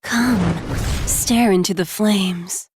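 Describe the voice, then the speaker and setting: A woman's voice: medium pitch, extremely slow. A woman voicing a confident, menacing fire sorceress in a video game, with a smouldering, taunting manner. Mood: taunting menace. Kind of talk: video game hero voice lines. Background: music.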